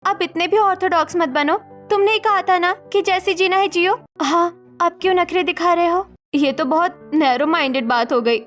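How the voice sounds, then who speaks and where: A woman's voice: very high-pitched, moderate pace, fairly bright tone, soft background music. A woman playing a sulky, manipulative young daughter-in-law in a cartoon story, petulant and dismissive. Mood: defiant.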